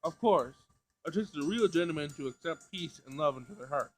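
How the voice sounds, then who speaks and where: A man's voice: on the high side, animated, a little fast. A man voicing a magical girl who preaches peace and love in a cutesy, theatrical, bossy way. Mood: coaxing. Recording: music behind the voice.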